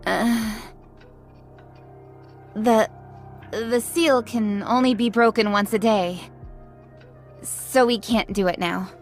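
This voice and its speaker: A woman's voice: a little high, steady tone, takes it slowly, long pauses. Earnest young female squad leader. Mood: awkward. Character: serious, composed young woman squad leader. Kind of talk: English video game dub acting. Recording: music behind the voice.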